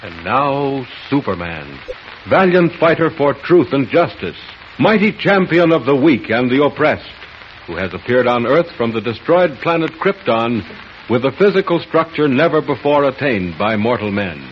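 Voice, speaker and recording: A man's voice: mid-range voice, sing-song, moderate pace, close to an everyday voice. A man acting as a grand, heroic announcer, narrating a 1940s radio serial in a dramatic, declamatory style. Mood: grand, stirring. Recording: dull old radio recording, faint hiss.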